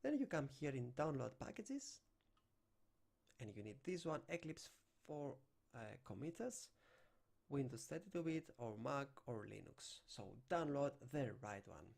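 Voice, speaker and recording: Young greek guy doing a java screen tutorial, bouncy kinda gravelly voice. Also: mid-range voice, big pitch swings, unhurried, darkish tone. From Greece. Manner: non-native English phrasing, talking through on-screen steps. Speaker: programming instructor. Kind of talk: screen-recorded coding tutorial. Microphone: desk microphone.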